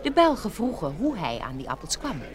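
A woman narrating a children's fairy tale from a script in an expressive storytelling manner. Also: mid-range voice, fairly quick, a crowd in the background.

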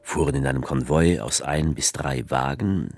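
An actor playing a sardonic author figure who narrates and guides the dictator in a radio play. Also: male voice, rumbling low, moderate pace, darkish tone, no room sound. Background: music.

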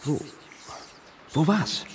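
A man acting in a radio play as a casual skeptic, with offhand, naturalistic, halting conversation. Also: a little hiss or hum. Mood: skeptical, amused. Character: casual, skeptical man sheltering from rain with a woman.

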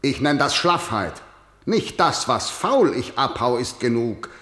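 A man's voice: medium pitch, big pitch swings, unhurried, pushed and bright. An actor playing an aging, domineering king in a verse radio play, commanding and scornful. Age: older. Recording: roomy studio echo.

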